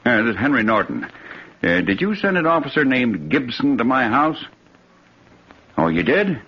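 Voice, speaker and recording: A man's voice: mid-range voice, big pitch swings, normal speed, husky. An actor playing a terrified, guilty man who stammers and pleads, in 1950s radio drama style. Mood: suspicious, nervous. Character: terrified, guilty man awaiting his killer. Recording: muffled, noisy old radio recording.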